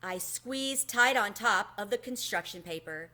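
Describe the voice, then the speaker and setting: A woman reading a children's book aloud expressively, in an animated storytelling manner. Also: mid-range voice, measured pace. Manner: animated, acts out the dialogue.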